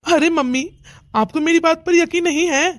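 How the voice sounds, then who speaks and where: High-pitched protective young husband, voiced by a woman. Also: steady tone, normal speed. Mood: reassuring. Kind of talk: melodramatic Hindi cartoon story dialogue. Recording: music under the voice.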